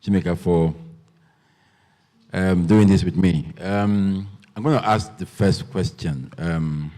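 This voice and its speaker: A man moderating a literary festival panel, relaxed and joking with lots of ums. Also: low voice, slow pace, big-room sound. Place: festival event hall.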